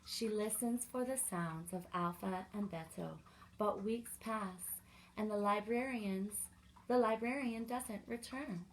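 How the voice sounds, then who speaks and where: A woman's voice: medium pitch, takes it slowly, a little hiss or hum, low-quality recording. A woman reading aloud to young children as a story time host, expressively and with little asides to the listeners.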